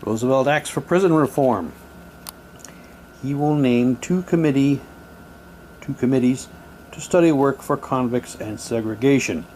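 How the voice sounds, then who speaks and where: A man casually reading printed material aloud in a halting way, with muttered asides to himself. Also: mid-range voice, a little mellow, slight background noise, poor audio. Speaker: unboxing presenter. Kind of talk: casual unboxing, reading old newspaper props aloud.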